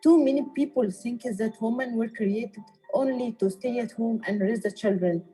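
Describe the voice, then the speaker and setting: A young woman from Egypt narrates a scripted testimonial. Her voice is fairly high yet very mellow and soft-edged, over faint music. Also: normal speed. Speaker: technician giving testimonial.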